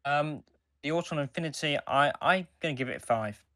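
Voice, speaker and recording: A man co-hosting a podcast, giving reviews in a casual, halting chat with sentences that circle back. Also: a little high, a bit bright, dry recording, studio quality.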